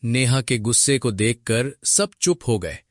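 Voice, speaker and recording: Lively male cartoon story narrator. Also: mid-range voice, a little slow, dry recording, clean studio sound.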